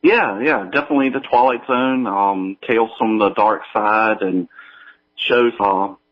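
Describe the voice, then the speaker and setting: A man with a lowish voice answers interview questions casually. He is modest and halting, and his remote line sounds dull, with the volume drifting up and down. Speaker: guest, audio drama creator.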